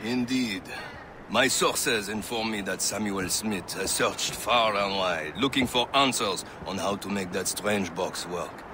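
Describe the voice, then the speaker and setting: An actor playing a sly, friendly arms merchant who talks in a smooth, confiding way. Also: male voice, on the low side, unhurried, noisy background, natural voice.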